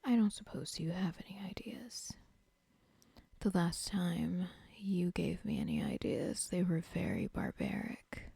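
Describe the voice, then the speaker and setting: A woman's voice: lowish voice, big pitch swings, a little slow, gravelly, dark tone, husky, no echo, exaggerated voice. A woman whispering an ASMR roleplay as a cold, controlling female cartel boss who talks in a menacing, dismissive way. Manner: whispering throughout.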